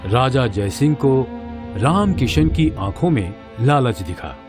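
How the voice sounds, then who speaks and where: A man tells a scripted story for children. His delivery is slow with lively intonation, and music plays behind him. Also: mid-range voice. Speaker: narrator. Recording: music under the voice.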